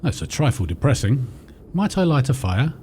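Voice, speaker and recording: Man playing a highly animated, mannerly gentleman traveller. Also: normal speed, lightly acted. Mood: polite, uneasy. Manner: formal, polite, theatrical. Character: lost gentleman traveller, well-mannered, excitable sceptic. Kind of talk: radio drama acting. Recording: clear background noise.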